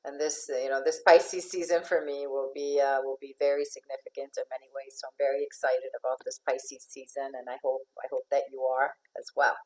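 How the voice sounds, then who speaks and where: A low-pitched woman from Finland who grew up in Venezuela talks to an online audience as an astrological life coach. She speaks loosely and conversationally, with frequent fillers and restarts. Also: flat delivery, a little fast, few pauses, a little mellow, rough recording. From Finland, raised in Venezuela. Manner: chatty with many fillers and restarts, laughs often. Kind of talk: informal talk to an online audience.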